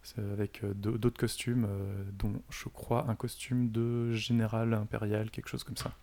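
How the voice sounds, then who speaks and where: Hesitant man with a lowish voice. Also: little pitch movement, normal speed, warm tone. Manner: hesitant, many fillers and restarts. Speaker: guest. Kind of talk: casual chat.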